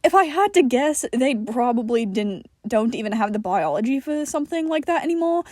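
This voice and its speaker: A cheeky, obnoxious joker of a man, played by a woman reader in an audio story. The voice is high and flippant, always teasing, with a dry, close sound. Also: expressive, fairly quick, darkish tone, dry recording, lightly acted. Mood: surprised, speculating.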